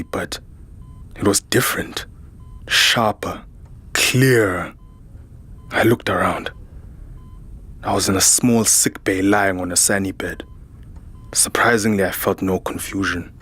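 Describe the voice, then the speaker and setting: A man's voice: fairly low pitch, steady tone, unhurried, pauses often, a bit bright, husky. A man playing a weary, defiant soldier, narrating a science fiction audio drama in a grave, dramatic manner. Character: weary, defiant male human soldier narrating his ordeal. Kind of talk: first-person scripted audio drama narration.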